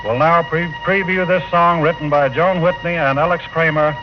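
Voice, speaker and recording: A man's voice: fairly high pitch, steady tone, moderate pace, over music. A man announcing formally from a script in the style of 1940s radio. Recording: muffled old 1940 radio broadcast.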